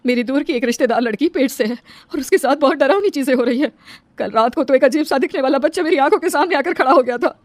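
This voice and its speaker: A woman's voice: high-pitched, quick pace, no echo, lightly acted. A woman playing an anxious but brave village aunt in a horror cartoon, urgent and pleading. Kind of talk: Hindi horror cartoon voice acting.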